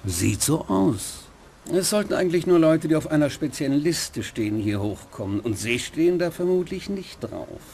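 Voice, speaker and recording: A worldly, mocking nightclub owner in a dubbed noir game. He speaks with a condescending drawl in a fairly high voice that swoops around animatedly. A light hiss sits beneath. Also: big pitch swings, moderate pace, creaky, darkish tone.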